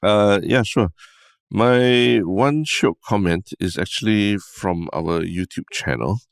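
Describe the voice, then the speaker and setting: A young man with a Singaporean accent co-hosting a casual podcast, relaxed and tagging his sentences with "lah" and "right?". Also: on the low side, slow pace, gravelly, smooth, no echo, studio quality. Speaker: podcast co-host.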